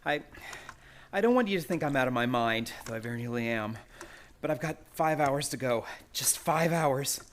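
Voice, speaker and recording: Guy playing anxious young man in a radio drama, halting n polite, mid pitch, sounds desperate. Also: animated, close to an everyday voice. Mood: nervous, desperate. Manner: hesitant, nervous, halting. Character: anxious, desperate young male chemist, polite but tormented. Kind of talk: radio play acting.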